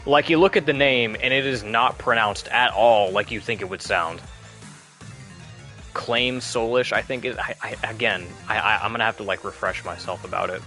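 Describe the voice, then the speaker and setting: A man's voice: lowish voice, normal speed, pushed and bright, faint music behind. A man giving casual, chatty commentary while playing a video game, rambling and often restarting his sentences. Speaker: gameplay commentator. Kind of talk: casual let's play commentary.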